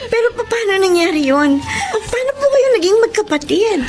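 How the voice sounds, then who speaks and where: A woman's voice: very high-pitched, lively intonation, brisk, slight background noise. A young woman playing a dutiful, respectful daughter and employee in a Filipino audio drama, speaking earnestly with a Filipino accent. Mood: confused. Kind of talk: Filipino radio drama acting.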